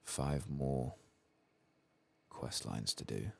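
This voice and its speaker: A man doing soft-spoken, whispery ASMR game commentary, murmuring unhurriedly to himself as he plays. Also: extremely low pitch, very slow, creaky, slightly rough. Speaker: streamer playing a fantasy role-playing game. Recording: faint background noise.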